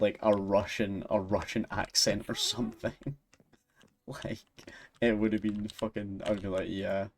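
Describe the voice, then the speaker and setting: Chatty Scottish man, lower-pitched voice. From Scotland. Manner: chatty and rambling, laughs often. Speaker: streamer. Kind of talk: casual chat while gaming and reading chat.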